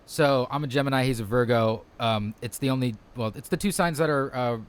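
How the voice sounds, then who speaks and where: A man's voice: mid-range voice, brisk, clear, resonant tone. Rambling man in his thirties. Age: 30s. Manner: rambling, loose and candid, trails off often. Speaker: podcast co-host. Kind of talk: casual chat.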